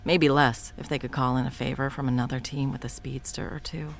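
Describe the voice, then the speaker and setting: A woman's voice: a bass voice, fairly even intonation, fairly quick, gravelly, a little hiss or hum. A woman playing a cruel, scheming female supervillain in an audio roleplay, taunting and profane, shifting between whispers and outbursts. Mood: menacing.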